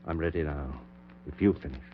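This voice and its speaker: A middle-aged surgeon bent on raising the dead, his voice low-pitched and intense. He gives clipped, commanding orders in the grave style of 1940s radio horror. The old broadcast recording is dull, with hum and faint noise. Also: steady tone, fairly quick. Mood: grave, intent. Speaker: radio drama actor. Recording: muffled, noisy, humming 1940s radio recording.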